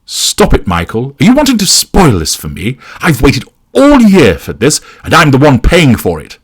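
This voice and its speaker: A man's voice: medium pitch, wildly swooping pitch, a little slow, husky, pitched above the performer's own voice, exaggerated voice. A man voicing a snobbish, domineering well-off wife in an audiobook, effusive, emphatic and condescending. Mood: irritated, hissing.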